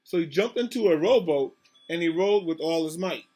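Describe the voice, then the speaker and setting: Male storybook reader, school principal, somewhat high pitch, speaks directly to children, repeats and explains. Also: animated, normal speed, dark tone. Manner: addresses children directly, asks questions, repeats himself. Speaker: school principal reading aloud. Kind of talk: children's picture-book read-aloud with asides.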